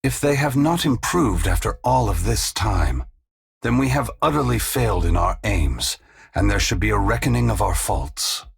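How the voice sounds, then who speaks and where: A man voicing an ancient, solemn and resolute god in a video game, speaking in a gravelly, husky, grave and measured oratorical way. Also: lowish voice, full character voice. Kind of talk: scripted dramatic game dialogue.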